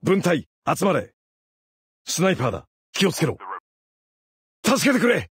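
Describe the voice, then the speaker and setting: A man voicing a terse soldier in a combat video game, giving short, clipped, commanding lines with a raspy voice. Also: sits high, big pitch swings, a little slow, a bit bright, no echo, studio quality, full character voice. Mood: urgent, commanding. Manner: clipped, commanding military delivery. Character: male soldier operator, terse, commanding, battle-focused.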